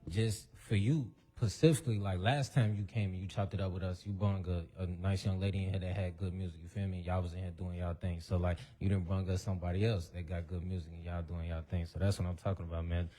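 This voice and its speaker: A man's voice: low voice, flat delivery, quick pace, hardly any pauses, dark tone. A man hosting a casual radio interview, laid back and slangy as he welcomes his guests. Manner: laid-back slangy talk, "you feel me". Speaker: radio show host.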